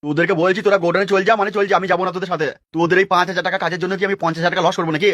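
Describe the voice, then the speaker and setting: A man's voice: high-pitched, flat delivery, very fast, a bit bright, dry recording, muffled recording. A man playing a brash, irritable small-time gangster in an amateur cartoon dub. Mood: irritated, threatening. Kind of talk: amateur Bengali cartoon dubbing.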